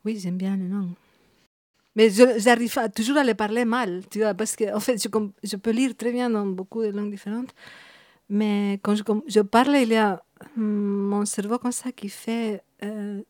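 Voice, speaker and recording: A woman's voice: medium pitch, a little mellow, dry recording, studio quality. Spanish-accented woman in her fifties. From Spain. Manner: thoughtful, halting, many restarts. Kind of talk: reflective interview talk.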